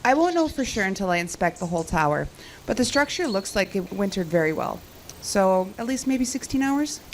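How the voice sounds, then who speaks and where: A woman's voice: medium pitch, sing-song, brisk, a little hiss or hum, close to an everyday voice, poor audio. A woman playing a confident, teasing cell tower technician in an audio drama, with a dry, sarcastic, bantering delivery. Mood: matter-of-fact.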